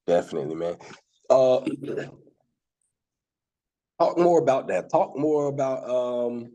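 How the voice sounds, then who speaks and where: A man asks his questions slowly and in a laid-back manner over a video call. His voice is gravelly and dark. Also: mid-range voice, very animated. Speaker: podcast host. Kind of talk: casual video-call interview.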